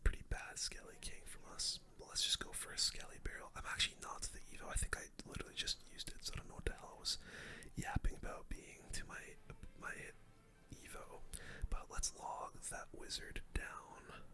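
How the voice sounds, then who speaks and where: A person giving whispered ASMR commentary on a game, calm and breathy, muttering a running play-by-play close to the microphone. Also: fairly quick. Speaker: gaming streamer.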